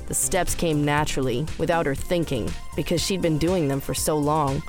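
A woman's voice: sits low, moderate pace. A woman reading scripted story narration for audio fiction. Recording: roomless dry studio, faint music underneath. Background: faint music.

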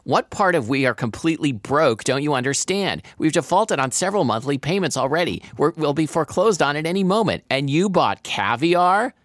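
A man's voice: on the high side, big pitch swings, a little fast, few pauses, a bit bright, no room sound, clean studio sound, pitched above the performer's own voice, exaggerated voice. A man voicing a distraught, indignant young adult daughter who scolds and pleads with her mother in a dramatic novel reading. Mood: exasperated, shouting.

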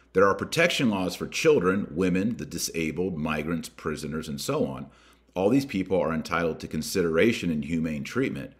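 A man hosting a podcast, reading a document aloud with emphatic, deliberate delivery and adding his own commentary. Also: deep voice. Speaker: podcast host.

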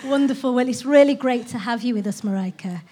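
A woman hosting a church study session, welcoming a guest speaker and then reading a Bible passage aloud in a slow, measured way. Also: on the high side, mellow, breathy edge.

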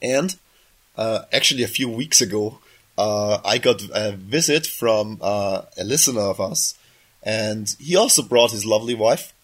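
A man's voice: fairly low pitch, animated, a little slow, slightly rough. A man hosting a casual podcast while sick, coughing now and then, his voice just back, rambling and often correcting himself mid-sentence. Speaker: podcast host.

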